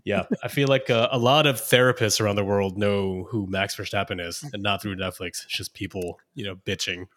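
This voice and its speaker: Male podcast co-host, low voice, easygoing and wry in casual talk. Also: fairly even intonation, brisk, few pauses, a bit bright. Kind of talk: casual chat.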